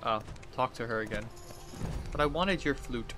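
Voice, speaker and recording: A man's voice: mid-range voice, expressive, moderate pace, noisy background. A man in his thirties giving casual, rambling let's play commentary, thinking aloud as he plays. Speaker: gaming video host. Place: indoors at a computer desk.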